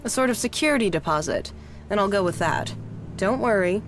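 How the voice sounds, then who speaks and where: A woman's voice: medium pitch, lively intonation, fairly quick, natural voice. An actress playing a wary, tough, streetwise female arms dealer in a video game, with a sardonic, bargaining manner. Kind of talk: video game dialogue acting. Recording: music under the voice.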